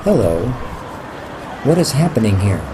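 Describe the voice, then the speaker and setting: A man's voice: on the low side, big pitch swings, a little slow. Sales robot role, male actor: courteous, stiff, formal holographic salesman, radio drama, noisy urban ambience. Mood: stilted, polite. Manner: stiff, formal, uncontracted phrasing. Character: polite, stilted male holographic sales bot.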